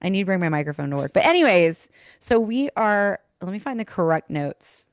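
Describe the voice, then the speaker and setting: A woman's voice: medium pitch, very animated, a little fast, no room sound. A woman hosting a podcast in a casual, chatty, informal way, saying "like" often, her voice a little worn from teaching. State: losing voice from teaching. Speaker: podcast host; school teacher. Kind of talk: casual chat and informal episode recap.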